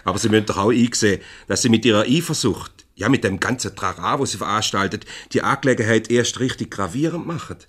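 Lowish-voiced, officious Swiss company director. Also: male voice, fast talker, hardly any pauses, a bit bright, breathy edge. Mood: reasoning. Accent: Swiss German. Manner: formal, pompous, hesitant when mediating. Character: officious company director, formal, placating, slightly pompous. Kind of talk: 1970s radio comedy acting.

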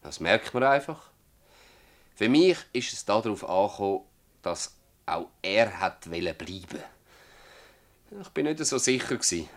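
An actor playing a stubborn old farmer in a radio drama, speaking emphatically and haltingly with a Swiss German accent. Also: male voice, lowish voice, sing-song. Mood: defensive, earnest. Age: older. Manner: emphatic, halting, earthy testimony. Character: gruff old Swiss farmer, stubborn, defensive, worn by toil. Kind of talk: dialect radio drama acting.

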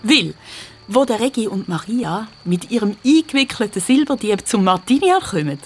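Lady narrator for a kids audio drama, mid pitched, storytelling style. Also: very animated, normal speed, husky. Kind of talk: scripted storytelling for children.

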